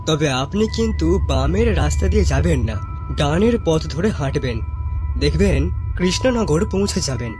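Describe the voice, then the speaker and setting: A man's voice: on the high side, expressive, moderate pace, over music, lightly acted. A man playing an older rustic tea-stall keeper in a horror cartoon, cautioning in a folksy way. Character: rustic older tea-stall uncle, cautious, well-meaning, warning travellers. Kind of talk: animated story acting.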